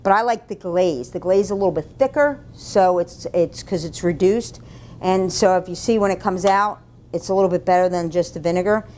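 A woman's voice: brisk, a little mellow. A middle-aged woman giving a casual, plain-spoken cooking demonstration as an instructor in an indoor kitchen. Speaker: cooking demonstrator, food and beverage director. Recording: low rumble, faint room noise.

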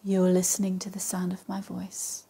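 A woman with a soft, breathy voice leading a guided meditation in a calm, measured way with long pauses. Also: fairly low pitch. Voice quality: soft, breathy, partly whispery. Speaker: yoga nidra meditation guide. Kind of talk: guided meditation, slow and soothing instruction.

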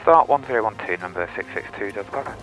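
Young man, pilot-vlogger, rapid and lowish, over a music bed. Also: animated, poor audio. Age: young adult. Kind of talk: casual vlog chat and radio calls.

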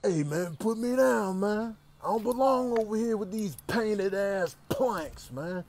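Man as a quiet, sulky captive creature. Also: high-pitched, animated, measured pace, slight background noise. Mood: aggrieved. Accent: American. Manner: sulky, slangy complaining. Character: grumbling captive creature longing for freedom.

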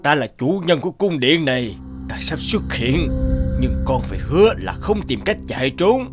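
A solemn, protective dragon lord from a cartoon fairy tale. His pitch is fairly high and swings widely as he gives orders, with music behind him. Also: sing-song, normal speed. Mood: solemn, reassuring. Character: fierce-looking, protective male dragon, lord of a palace.

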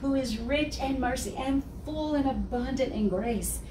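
Animated Texan woman telling her story. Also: high-pitched, measured pace. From Texas. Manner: expressive, gestures constantly, laughs often. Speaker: guest speaker. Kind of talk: animated testimony. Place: wood-panelled office set with armchairs. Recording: roomy, distant, faint hiss.

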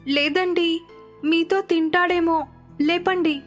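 Woman voicing a high, fretting young daughter-in-law. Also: fairly even intonation, takes it slowly. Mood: caring. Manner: respectful, fretting. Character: anxious, caring young village daughter-in-law. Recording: music under the voice.

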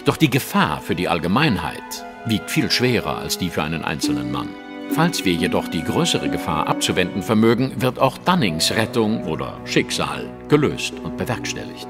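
A shrewd detective in an audio drama, with a lowish, very lively voice. He lectures precisely and eloquently, sure of himself, with music underneath. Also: moderate pace. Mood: composed, grave. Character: shrewd, self-assured English male detective, lecturing and observant.

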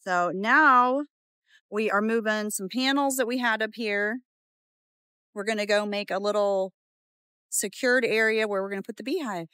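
A woman's voice: medium pitch, moderate pace, pushed and bright, smooth, dry recording, studio quality. Chatty woman vlogging outdoors on a farm. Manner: chatty, explaining chores to viewers. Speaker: homestead vlogger. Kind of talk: casual vlog narration. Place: outdoors on a farm, riding in a utility vehicle. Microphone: phone held at arm's length.

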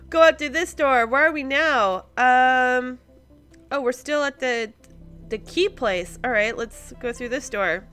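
A woman with a high, bright voice hosts a casual video game playthrough. She thinks aloud at an unhurried pace and breaks into exclamations of surprise. Faint music sits behind her. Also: smooth. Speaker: host of a gaming series. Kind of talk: casual let's play commentary.

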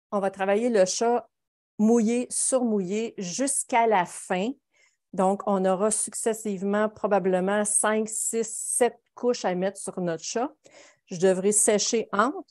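A woman's voice: mid-range voice, darkish tone. Chatty Quebec woman teaching painting. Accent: Quebec French. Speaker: watercolour painting instructor. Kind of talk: live painting demonstration, informal explaining. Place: home studio, indoors. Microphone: video call.

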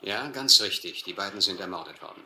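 An actor playing an educated, resigned gentleman castaway in a radio play, articulate, earnest and bitter. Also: low-pitched, lively intonation, fairly quick, heavily acted. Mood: bitter, urgent. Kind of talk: German radio play narration and dialogue. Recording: roomy, faintly noisy radio play recording.

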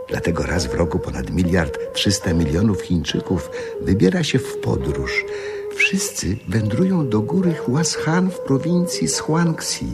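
An actor playing a whimsical philosophizing storyteller, delivering a solemn parable as a radio drama monologue. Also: male voice, medium pitch. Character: whimsical male storyteller, philosophizing about happiness.

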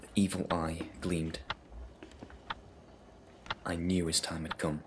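Actor as a low-voiced sinister murderer. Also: male voice, flat delivery. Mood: menacing, secretive. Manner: halting, deliberate short phrases. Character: cunning, sinister murderer recounting his stealthy crime. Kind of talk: gothic audio drama monologue. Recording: clear background noise.

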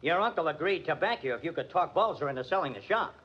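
A man's voice: fairly high pitch, lively intonation, quick pace, husky. An actor playing a sarcastic, hard-boiled American police detective who needles in tough-guy slang, in a 1940s radio drama. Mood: tough, wry. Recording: dull, band-limited old radio recording.

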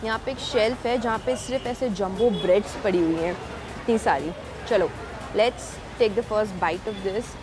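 A woman's voice: mid-range voice, measured pace, over music. A young woman, reviewing street food in a casual vlog, chatting while she eats, recorded on a lapel mic in busy food shops. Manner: chatty, mixing Hindi and English, tasting on camera. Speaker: food vlogger.

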